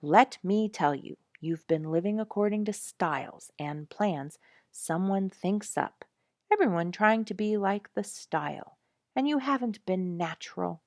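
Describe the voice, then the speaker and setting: A woman's voice: medium pitch, unhurried, darkish tone, no room sound, studio quality, natural voice. A woman voicing a blunt, self-assured young male porcupine who lectures in a folksy, emphatic way, as in a storybook. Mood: folksy, urging. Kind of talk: storybook character speech.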